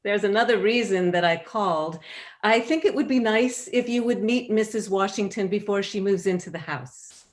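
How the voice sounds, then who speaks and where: A woman's voice: medium pitch, normal speed, natural voice. A woman in a staged reading, playing a forthright, courteous suburban neighbour who speaks with composure. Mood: composed. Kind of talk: staged reading of a satirical play. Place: video call.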